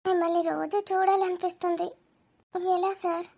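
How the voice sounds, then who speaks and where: A shy young woman in love from a cartoon romance, played by a woman. Her voice is very high, soft and hesitant, kept quiet and tender. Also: little pitch movement, normal speed, vocal fry.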